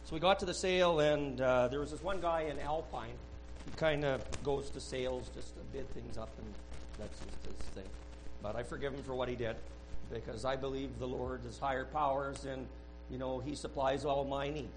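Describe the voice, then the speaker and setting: A man sharing a personal testimony at a church service, speaking earnestly and haltingly with many restarts. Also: mid-range voice, fairly even intonation, slight background noise, low-quality recording. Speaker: church member giving a testimony. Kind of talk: rambling spoken testimony.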